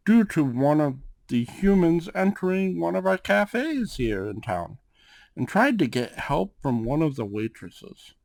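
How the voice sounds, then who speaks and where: Guy doing a smooth cat boss for asmr roleplay, protective n calm, really unhurried, super dry close sound. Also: on the high side, no room sound, exaggerated voice, low-quality recording. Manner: measured, drawn-out delivery with questioning tags. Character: suave male cat-folk gang boss, calm and protective. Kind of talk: ASMR roleplay, acted monologue to one listener.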